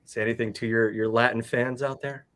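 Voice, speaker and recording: A man hosts a casual livestream in a friendly, rambling way, greeting guests and reading names out loud. His voice sits at an ordinary middle pitch, and he speaks over a video call. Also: level tone, a little fast. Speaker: host.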